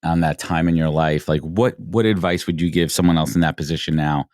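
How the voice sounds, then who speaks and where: A man in his forties hosting a podcast, asking questions in an animated way and often repeating words as he starts. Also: very deep voice, a little fast, no echo, studio quality. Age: 40s. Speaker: podcast host. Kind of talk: conversational interview hosting.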